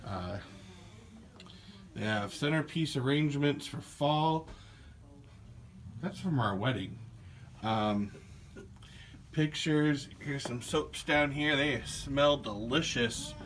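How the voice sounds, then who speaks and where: A man in a small indoor craft store narrates a casual walkthrough, relaxed and rambling with frequent fillers. His pitch sits mid-range for a man. Faint noise runs under the recording. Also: takes it slowly, pauses often. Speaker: podcast host giving a store tour.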